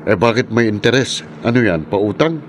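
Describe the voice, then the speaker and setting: An actor playing a nosy, streetwise pal of a gambler in a horror radio drama, speaking colloquially and questioningly. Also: male voice, fairly low pitch, animated, normal speed, close to an everyday voice. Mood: prodding, puzzled. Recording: clear vehicle-like background noise.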